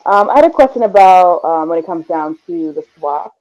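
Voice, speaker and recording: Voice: mid-range voice, expressive, normal speed, a little mellow, poor audio. A caller from New York asks an eager, casual question.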